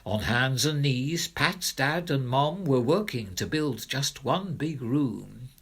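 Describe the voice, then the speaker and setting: Animated, unhurried male storybook narrator. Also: mid-range voice, husky. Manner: expressive, theatrical storytelling. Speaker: narrator, reader of children's poems. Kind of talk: scripted rhyming storybook narration.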